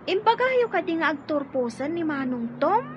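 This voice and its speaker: A woman's voice: high voice, very animated, normal speed, noisy background. A woman with an Ilocano Filipino accent playing a cheerful, eager young little sister in an audio drama. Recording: dull lo-fi audio with music.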